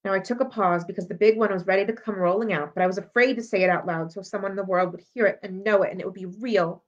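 Woman, expressive read-aloud, children's novel, medium pitch, dull lo-fi recording. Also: quick pace. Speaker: library read-aloud reader. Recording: dull lo-fi audio.

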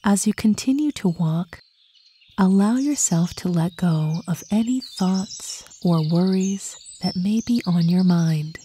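A woman narrating a guided meditation in a calm, soothing, unhurried way, with a soft voice that turns breathy at phrase ends. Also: on the low side, a little hiss or hum. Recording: birdsong and nature ambience behind. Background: birdsong, outdoor nature sounds.

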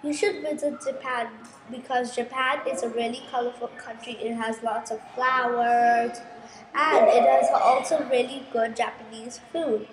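A woman's voice: a little high, fairly even intonation, unhurried, slight background noise, low-quality recording. A girl reading a school presentation over a video call, eagerly punching out each listed word. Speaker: student presenter.

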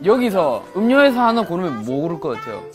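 A man's voice: sits high, sing-song, fast talker, over music. A young man in his twenties teasing and guessing playfully in group banter on a variety show, recorded indoors. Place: comic book cafe set, indoors.

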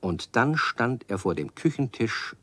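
An actor narrating a children's radio play as a storyteller in scripted storybook style. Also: male voice, mid-range voice, sing-song, a little slow, sharp tone, dry recording, heavily acted.

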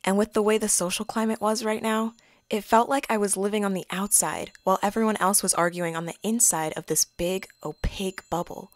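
A woman's voice: mid-range voice, fairly even intonation, brisk, dry recording, close to an everyday voice. A young woman playing a lonely, wry student who narrates an introspective audio drama. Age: young adult. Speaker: lead and narrator.

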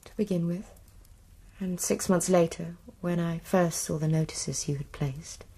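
An actress playing a guarded, quietly resolute young Victorian woman in a radio drama, with an English accent and a hushed, measured delivery. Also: on the low side, steady tone, a little hiss or hum. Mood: firm, resolute. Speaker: actor. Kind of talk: broadcast radio drama acting.

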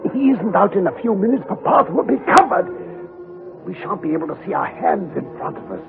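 A commanding, middle-aged detective who talks in clipped, authoritative bursts, his tone swooping widely and his voice gravelly. The sound is a scratchy, tinny 1940s radio broadcast, with music and hiss behind. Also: high voice, big pitch swings, a little fast, exaggerated voice. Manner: clipped, authoritative, gives terse orders.

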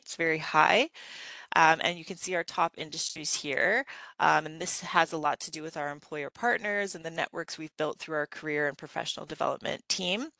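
Woman presenting slides, gravelly and bright. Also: fairly low pitch, no echo, poor audio. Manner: conversational, many um fillers. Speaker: graduate program presenter.

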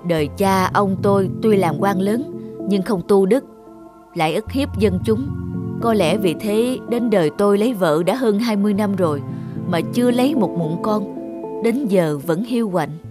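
A woman's voice: mid-range voice, moderate pace, music in the background, close to an everyday voice. A woman voicing a sincere, solemn, remorseful middle-aged man in a cartoon. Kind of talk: cartoon dubbing.

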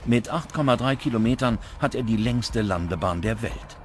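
A man reading scripted documentary narration with dramatic, measured phrasing. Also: mid-range voice, lively intonation. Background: background music.

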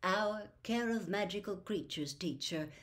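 A woman's voice: medium pitch, unhurried, natural voice. A woman reading the part of a courteous, composed old wizard headmaster in a formal, gracious manner.

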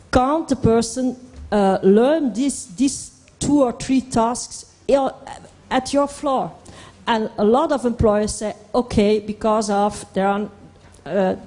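Woman, Flemish accent, mellow dark tone, medium pitch, halting, emphatic repetitions, panel explanation. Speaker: panelist from an employment service. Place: indoor conference hall stage. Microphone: handheld microphone.

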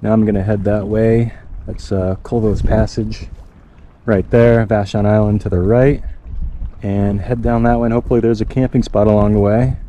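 A man in his thirties talking casually and haltingly to the camera as a solo outdoor vlogger. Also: fairly low pitch, flat delivery, mellow. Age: 30s. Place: outdoors on open water and at a campsite. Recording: background noise from wind and outdoors.

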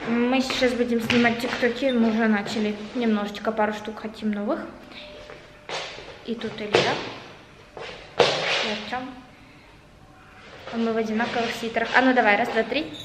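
A young woman chatting casually to a handheld camera in a chilly house, bubbly and talkative. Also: roomy sound. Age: young adult. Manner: chatty, bubbly, quick shifts into excited high tones. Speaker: vlogger. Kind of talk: casual vlog chat to camera. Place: chilly house living room, indoors. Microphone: handheld camera at arm's length. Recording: noisy home camera audio.

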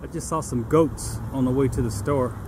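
A man's voice: mid-range voice, normal speed. A middle-aged man on a long-distance hike, talking casually and upbeat to a handheld camera outdoors, thinking aloud with fillers. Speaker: thru-hiker vlogger. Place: outdoors on a forest trail and in a small town. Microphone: handheld camera at arm's length. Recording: outdoor camera audio with background noise.

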